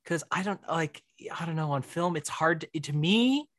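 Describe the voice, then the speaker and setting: Halting man in casual conversation, higher voice. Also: animated, fairly bright tone, dry recording. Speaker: podcast guest, writer and director.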